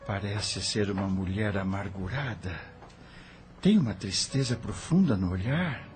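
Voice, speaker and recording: A man's voice: on the low side, measured pace, background noise, close to an everyday voice. A man playing an earnest, grieving doctor and family man in an audio novel. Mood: sorrowful. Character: earnest grieving widowed doctor, loving husband and father. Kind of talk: audio novel dramatic acting.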